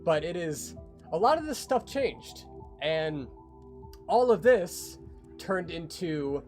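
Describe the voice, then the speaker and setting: A man's voice: fairly high pitch, sing-song, takes it slowly, a little mellow. A man chatting casually as a creator walking viewers through a project on a livestream. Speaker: creator on a behind-the-scenes livestream. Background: music.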